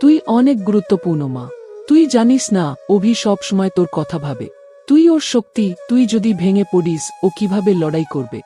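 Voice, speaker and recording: A woman acts a middle-aged mother-in-law in a cartoon story. Her mellow voice rises and falls expressively, affectionate and reassuring with a gentle scolding edge. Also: mid-range voice, sing-song, normal speed, faint music behind, natural voice. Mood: tender, encouraging.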